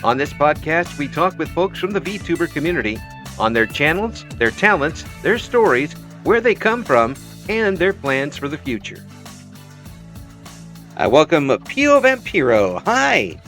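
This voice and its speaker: A man's voice: on the high side, big pitch swings, unhurried, fairly bright tone, faint music behind. A man hosting a casual interview podcast, chatting in an encouraging way.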